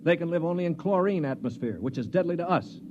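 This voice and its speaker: Man as cynical high-voiced radio-drama hero. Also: lively intonation, fast, background noise, natural voice. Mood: scornful. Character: cynical, blunt Earthman prisoner and narrator, Mister Stewart. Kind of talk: 1950s radio drama acting and narration. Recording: muffled 1950s radio recording.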